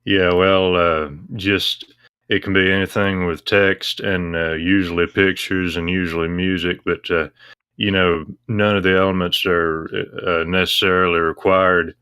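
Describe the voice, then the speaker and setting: A man answers as an interview guest in a low voice with very little rise and fall. He explains casually and matter-of-factly, with a clear, bright edge to his sound. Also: level tone, unhurried, no room sound, clean studio sound.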